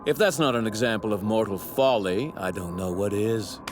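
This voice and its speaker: An actor playing an arrogant, self-righteous god who scorns mortals, with pompous, formal diction in a theatrical anime dub. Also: male voice, on the low side, moderate pace, over music, close to an everyday voice. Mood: condescending. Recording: noisy clip with overlapping sound effects. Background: anime sound effects.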